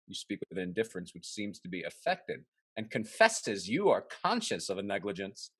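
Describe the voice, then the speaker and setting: A man plays a worldly, sardonic gentleman of Restoration comedy. His delivery swings widely in pitch, theatrical and dismissive, in a close, dry recording. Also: big pitch swings, moderate pace, slightly rough, no room sound, exaggerated voice. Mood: mock offence. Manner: theatrical, sardonic period phrasing. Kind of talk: Restoration comedy play reading.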